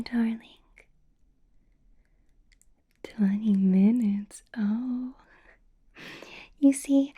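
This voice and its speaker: Vampire boss, female, ASMR roleplay: very mellow, airy whisper, slightly high, amused menace. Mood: doting whisper. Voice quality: soft whispering, breathy. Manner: sweetly possessive, amused with an undercurrent of threat.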